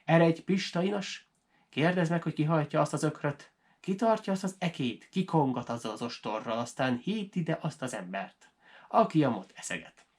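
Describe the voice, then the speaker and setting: A man's voice: a little high, expressive. A man voicing a curious, imperious wealthy lord in a folk tale, commanding and exclamatory.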